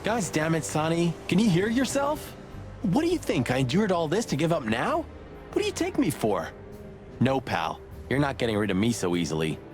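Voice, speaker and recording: Lively wisecracking rooster cop. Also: male voice, a little high, moderate pace, over music. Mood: indignant. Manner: wisecracking, expressive, blustery. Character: loyal wisecracking male rooster cop, hot-headed sidekick. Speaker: detective's partner. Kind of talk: film noir game voice acting.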